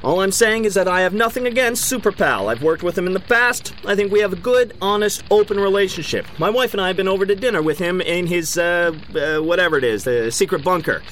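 An actor playing an evasive, blustering big-city mayor who stammers through officialese at a mock press conference. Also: male voice, high voice, animated, fast talker, hardly any pauses, fairly bright tone, a little hiss or hum, low-quality recording. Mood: defensive. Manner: hedging officialese, stammering, defensive repetition. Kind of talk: mock press conference in a comedic audio drama.

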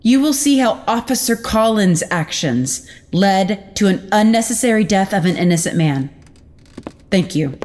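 A woman playing a determined prosecuting attorney in an audio drama, speaking formally and with deliberate emphasis. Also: medium pitch, animated, a little mellow, natural voice. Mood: formal, resolute. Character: determined female prosecuting attorney addressing a jury. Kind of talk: audio drama acting, formal courtroom speech. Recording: clear background noise.

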